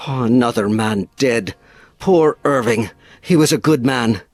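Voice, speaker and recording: An actor playing a stern but fair-minded naval captain in a period audio drama, formal and authoritative. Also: male voice, medium pitch, a little slow, darkish tone, dry recording, faint music behind. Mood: grave.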